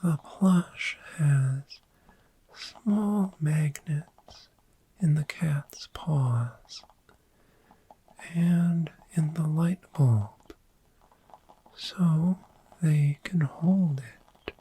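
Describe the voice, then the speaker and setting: A gentle, friendly dragon acted by a woman speaks in a breathy, dark and velvety whisper for a sleepy ASMR roleplay. The delivery is extremely slow and soothing, lingering softly on repeated words. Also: sits low, exaggerated voice, poor audio. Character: friendly, gentle, sleep-loving dragon hoarding cozy bedding. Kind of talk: soft-spoken ASMR roleplay.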